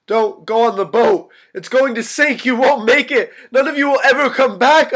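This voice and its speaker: A man in a staged drama reading, playing a troubled young son who speaks haltingly and slips into his missing family's voices. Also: very high-pitched, a bit bright, heavily acted. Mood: desperate pleading. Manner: halting, repetitive, switching tones of voice. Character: troubled young son losing his grip, pleading, echoing his vanished family.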